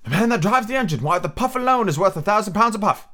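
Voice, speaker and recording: A man's voice: sits high, animated, quick pace, sharp tone, pitched above the performer's own voice. A man reading aloud and voicing a chorus of fussy train passengers chanting together. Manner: sing-song, fussy.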